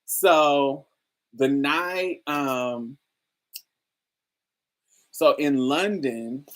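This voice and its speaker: A woman hosting a casual chat, telling stories in a lively way with frequent laughter and free swearing. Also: a bass voice, drawn-out words. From New Orleans, USA. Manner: animated storytelling, frequent laughter, swears freely. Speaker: host. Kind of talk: casual livestream chat with viewers.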